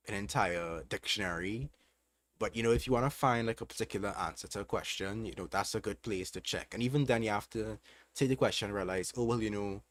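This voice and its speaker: Deep-voiced Trinidadian man chatting. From Trinidad and Tobago. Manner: relaxed, thinking aloud with fillers. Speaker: livestream host. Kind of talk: casual livestream Q&A chat.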